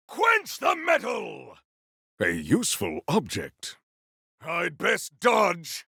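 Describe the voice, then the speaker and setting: A man's voice: very high-pitched, vocal fry, sharp tone, breathy edge, dry recording, clean studio sound, heavily acted. A man voicing a fierce, grandiose warrior in a video game, barking theatrical battle cries. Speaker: video game combat character.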